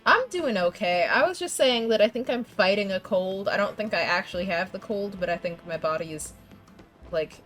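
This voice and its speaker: A woman's voice: mid-range voice, animated, normal speed, fairly bright tone. Brief: young woman game streamer, stuffy congested voice, rambling casual chat, faint game music behind. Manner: chatty, rambling, frequent laughs and restarts. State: congested, fighting a cold. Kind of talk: casual gameplay chat with viewers.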